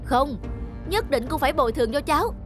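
A woman's voice: high-pitched, lively intonation, brisk, music in the background. A woman voicing a stern but kind-hearted young businessman in a cartoon, speaking in a firm, lecturing way. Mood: indignant. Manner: firm, lecturing, matter-of-fact. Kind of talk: cartoon voice acting.

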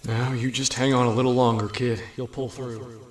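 A man's voice: mid-range voice, level tone, moderate pace, natural voice. Man as fatherly Marine in echoey room. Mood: encouraging. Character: gruff, loyal Marine buddy, fatherly. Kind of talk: audio drama acting. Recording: echoey room, faint ambient noise.

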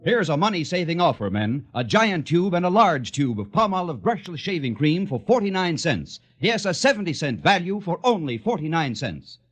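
An American man gives a vintage radio sales pitch. His voice is husky and fairly high, with very lively swings in pitch. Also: normal speed, hardly any pauses, soft background music. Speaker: commercial announcer.

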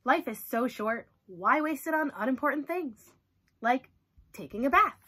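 A stubborn cartoon pigeon played by a woman reading a storybook, high and bright, with wildly swooping pitch. It protests in a dramatic, whiny, exaggerated way. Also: expressive, moderate pace, husky, heavily acted. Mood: dismissive. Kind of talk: children's storybook character read-aloud.

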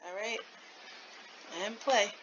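A young woman hosts a reaction video in a bright, lively voice. She chats casually and takes her time, with clear noise in the small-room recording. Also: mid-range voice, slow, poor audio. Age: young adult. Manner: animated, chatty.

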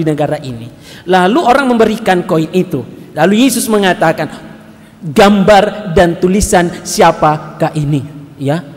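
A man in his thirties, a priest giving a lively homily at mass, animated and questioning the congregation, speaking into a headset mic. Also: high voice. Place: large church hall. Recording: long hall reverb.

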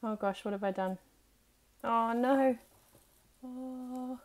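A woman's voice: fairly high pitch, steady tone, slow pace, darkish tone. A woman giving casual, chatty commentary on a card opening, talking to herself and reading out names as she goes. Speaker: trading card collector and video host.

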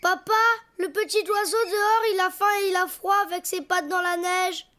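A performer voicing an eager, tender little boy donkey in a French preschool cartoon, earnest and expressive. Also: very high-pitched, moderate pace, a little hiss or hum. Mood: concerned. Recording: music behind the voice.

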